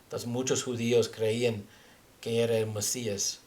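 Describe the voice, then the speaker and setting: A man with a foreign accent, a native English speaker, teaching scripture haltingly as he searches for words. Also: medium pitch, little pitch movement, darkish tone. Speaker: religious teacher. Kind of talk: Bible teaching with scripture reading.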